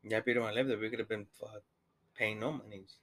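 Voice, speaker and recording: Field: rambling low-voiced man. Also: fairly even intonation, fast, fairly bright tone. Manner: rambling, lots of "like" and "you know", swears. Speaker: podcast co-host. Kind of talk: casual couple chat.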